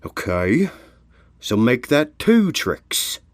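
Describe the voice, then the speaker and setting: A man voicing a gruff, sarcastic male pony worker in an audio story, scornful and grumbling. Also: mid-range voice, very animated, extremely slow, no room sound, studio quality, pitched above the performer's own voice. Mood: grudging. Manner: barking, sarcastic.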